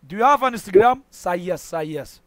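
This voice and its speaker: A man in his twenties from Kurdistan talks to strangers on a video chat in broken, Kurdish-accented English. His voice sits very high and sounds bright, and he teases and laughs a lot as he talks. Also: expressive, brisk, slight background noise. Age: 20s. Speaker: streamer.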